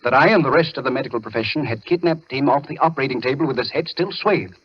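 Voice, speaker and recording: An actor playing a grim, resigned captive doctor, speaking earnestly and articulately in an old radio thriller. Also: male voice, medium pitch, expressive, fast, breathy edge, no room sound. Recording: muffled noisy old radio recording.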